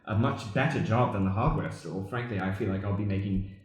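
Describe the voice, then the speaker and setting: A man playing an eager, bumbling handyman in an improvised comic radio play, hesitant, over-polite and excitable. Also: lowish voice, a little fast, close to an everyday voice. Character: eager, bumbling hardware-store handyman joining a criminal gang. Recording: very echoey room.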